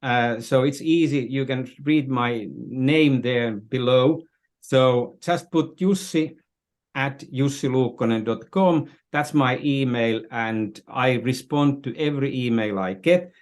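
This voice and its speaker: Older male interview guest, Finnish accent, mid-pitched voice, halting and repetitive as he explains. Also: a little mellow. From Finland. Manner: halting, searching for words, repeats phrases. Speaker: interview guest, business strategist. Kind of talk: conversational interview, explaining and telling anecdotes.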